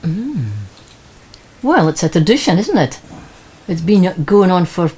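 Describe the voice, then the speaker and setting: A plain-spoken woman with a husky voice, answering an interview casually in short phrases. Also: on the low side, sing-song, unhurried, dark tone.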